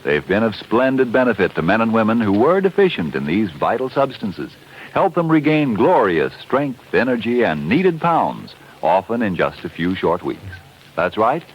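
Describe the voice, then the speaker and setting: A man working as a radio announcer, reading formally in a measured 1940s broadcast style. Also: lowish voice, very animated, slight background noise. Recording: dull lo-fi 1940s radio recording.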